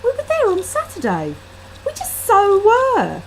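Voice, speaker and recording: A woman's voice: extremely high pitch, wildly swooping pitch, measured pace, voice pushed up, full character voice. A woman voicing an earnest, concerned young female friend in a short story. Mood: insistent. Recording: faintly noisy laptop webcam microphone.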